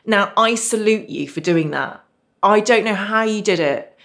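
A woman hosting a podcast, reading a scripted introduction and then interviewing in a measured, earnest way. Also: medium pitch, expressive, vocal fry, fairly bright tone. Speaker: podcast host.

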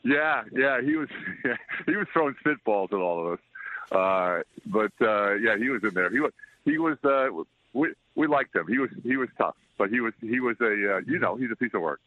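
An older man chatting as an interview guest, loud-voiced, rambling and self-deprecating. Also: mid-range voice, lively intonation, normal speed. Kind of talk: casual interview chat. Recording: tinny dry phone line.

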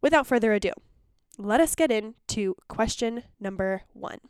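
A woman's voice: a little high, animated, a little mellow, clear, resonant tone, no room sound, studio quality. A woman hosting a podcast, congested and phlegmy, talking in a chatty, candid way. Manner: chatty and candid, speaks in quick bursts. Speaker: podcast host. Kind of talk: casual podcast talk.

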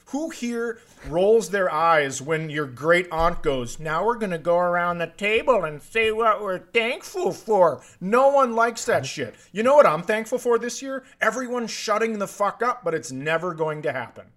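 A man's voice: high voice, lively intonation, moderate pace, hardly any pauses, a bit bright. A man ranting casually for laughs, sarcastic, profane and irritated. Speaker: comedy podcast host.

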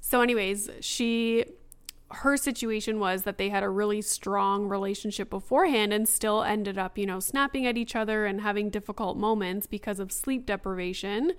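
Young female podcast host, solo episode, casual and rambling, smooth but slightly raspy voice. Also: mid-range voice, steady tone, moderate pace. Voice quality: raspy after long talking. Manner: chatty, frequent fillers like "you know" and "like". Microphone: laptop recording setup.

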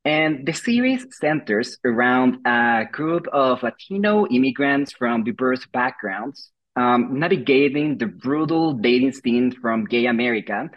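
A young man from Mexico with a Mexican accent, delivering a playful, rehearsed pitch of his show as a guest. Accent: Mexican Spanish. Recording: dull lo-fi audio.

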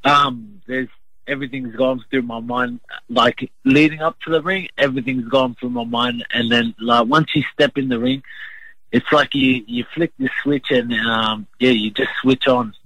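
Young man, Australian accent, boxer being interviewed, plain-spoken, halting delivery, very dry close sound. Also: mid-range voice, steady tone, sharp tone, no echo, rough recording. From Brisbane, Queensland, Australia. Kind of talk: sports interview.

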